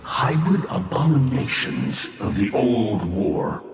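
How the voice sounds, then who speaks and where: A man's voice: mid-range voice, big pitch swings, slow, creaky, heavily acted. A male actor playing a bitter, ancient fallen warlord in a video game, mocking and grandiose, with theatrical, menacing delivery. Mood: grave, ominous. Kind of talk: dramatic video game voice acting. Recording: cavernous echoing reverb, faint ambient noise.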